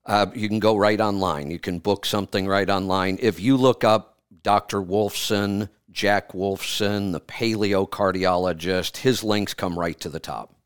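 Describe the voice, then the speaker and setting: A man's voice: fairly low pitch, steady tone, unhurried, clean tone, no room sound, clean studio sound. A man hosting a radio health show, giving a caller firm, direct advice and often repeating himself. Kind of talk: advising a caller on air. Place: radio studio.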